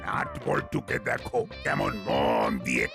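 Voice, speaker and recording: Casting: man as avuncular middle-aged cartoon police chief, heavy gravel, hugely animated intonation, commanding yet encouraging. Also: extremely low pitch, a little slow, full character voice. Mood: advising. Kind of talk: cartoon voice acting. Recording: music behind the voice. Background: cartoon background music.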